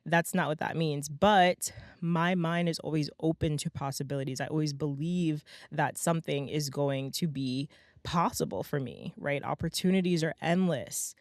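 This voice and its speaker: A young adult woman hosting her own podcast in a casual, motivational monologue. Her voice is slightly hoarse and raspy, and she talks emphatically, often tagging lines with "right?" and "okay?". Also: low voice, normal speed, no room sound, clean studio sound. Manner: chatty, emphatic, peppers talk with "right?" and "okay?". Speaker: podcast host.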